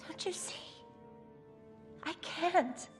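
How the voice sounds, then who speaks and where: An actress playing an anguished young queen in an animated film, halting and distraught. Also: extremely high pitch, soft background music, heavily acted. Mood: panicked, despairing.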